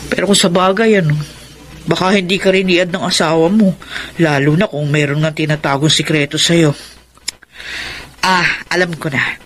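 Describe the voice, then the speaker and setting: A woman's voice: fairly low pitch, fairly even intonation, moderate pace, long pauses, slight background noise, natural voice. A woman playing a bossy, suspicious, scheming older sister figure in a Filipino radio drama. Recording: faint background ambience.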